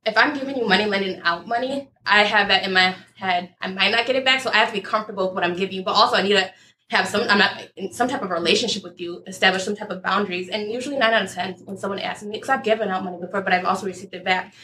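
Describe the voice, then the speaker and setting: A woman's voice: medium pitch, rapid-fire, pushed and bright, a little hiss or hum. A woman on a discussion panel, explaining her views at length in a thoughtful, talking-it-through way. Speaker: panel guest. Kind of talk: casual debate.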